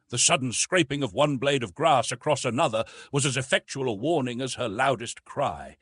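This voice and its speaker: A man reads a classic adventure story as an audiobook narrator. His mid-pitched voice swings widely and expressively through the measured, dramatic prose. The tone is husky, and the recording is close and dry. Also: no room sound. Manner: expressive, measured literary reading.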